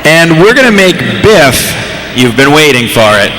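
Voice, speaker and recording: A man's voice: a little high, sing-song, normal speed. A man moderating a comedy panel live on stage, joking and exclamatory with the audience. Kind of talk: lively live stage hosting. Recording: noisy live convention hall with crowd. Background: convention audience.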